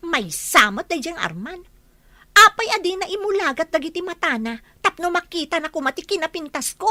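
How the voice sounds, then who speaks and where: A woman's voice: very high voice, sing-song, fairly quick, sharp tone, heavily acted. A woman acting a jealous, spiteful, boastful young village woman in an Ilocano radio comedy drama, with an Ilocano accent and a catty, dramatic delivery. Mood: bitter, jealous.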